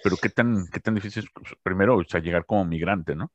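A man hosts a conversational interview podcast in a fairly low voice. The sound is very dry, with little room around it, and slightly dull and lo-fi. He speaks as an interviewer addressing his guest. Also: fast, no echo.

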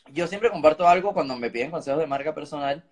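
A woman's voice: deep voice, normal speed, darkish tone. A woman hosting an interview and replying to her guest in an agreeable, conversational way. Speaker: podcast host. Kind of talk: casual interview chat.

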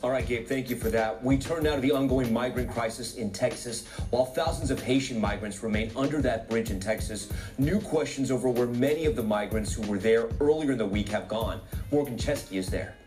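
A man's voice: mid-range voice, fairly quick, few pauses, distant mic, music in the background. A man with an American accent anchoring a scripted television news broadcast.

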